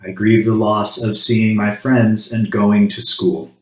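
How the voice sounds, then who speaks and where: A man leading a congregation through a solemn grief ritual, speaking in measured short phrases with pauses between them. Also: lowish voice, flat delivery. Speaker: congregation worship leader. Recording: roomy, echoing sound.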